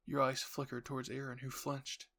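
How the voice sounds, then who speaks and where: A man's voice: medium pitch, monotone, normal speed, pushed and bright. A man reading dramatic scripted narration for a roleplay story, addressed to the listener in the second person.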